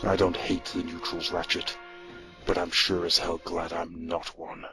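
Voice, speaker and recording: Guy doing a deep gravelly robot officer for an audio drama, hard-nosed and defensive, music behind. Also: level tone, unhurried. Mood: cold.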